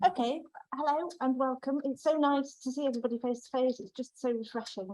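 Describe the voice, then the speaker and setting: Squeaky lady presenting at a meeting, fairly high, friendly. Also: fairly even intonation, a little fast, darkish tone, poor audio. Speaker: network chair. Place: theatre stage.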